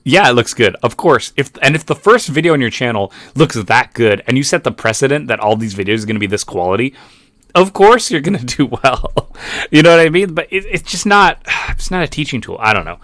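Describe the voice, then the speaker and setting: A man's voice: mid-range voice, big pitch swings, fairly quick, a bit bright, no room sound, studio quality. A man giving casual reaction commentary, skeptical and rambling with restarts. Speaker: YouTube commentator.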